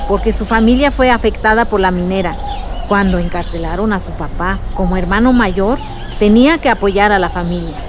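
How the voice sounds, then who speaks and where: A woman's voice: medium pitch, a little fast, natural voice. An actress playing a young Mexican village woman who recounts memories in a reflective way in a radio drama. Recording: clear outdoor noise with birdsong.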